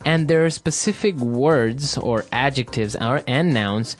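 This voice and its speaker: A man from Ecuador co-hosting an English lesson podcast, upbeat and often affirming with a quick "that's right". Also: mid-range voice. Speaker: podcast co-host, English teacher. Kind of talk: scripted teaching dialogue.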